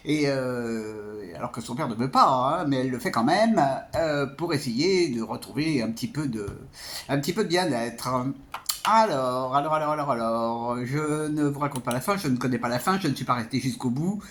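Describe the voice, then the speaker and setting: A man's voice: medium pitch, expressive, moderate pace, a bit bright. A man giving a casual spoken film review as a vlogger, hesitant and often repeating words.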